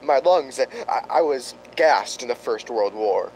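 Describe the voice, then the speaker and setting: A man's voice: mid-range voice, lively intonation, a little mellow, a little hiss or hum, poor audio. An actor playing a frail, polite elderly doctor in a radio drama, stammering and coughing as he speaks. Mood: frail, flustered. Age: older. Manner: halting, stammering, courteous.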